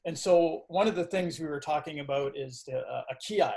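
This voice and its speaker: A man who teaches karate, hosting a casual video-call interview in a relaxed, chatty way with lots of fillers. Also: a little high, normal speed.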